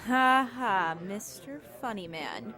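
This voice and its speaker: An actress playing a chatty, casually sarcastic young motel desk clerk in an audio drama. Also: female voice, mid-range voice, sing-song, slow pace, heavy background noise. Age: young adult. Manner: casual, sarcastic, then terrified.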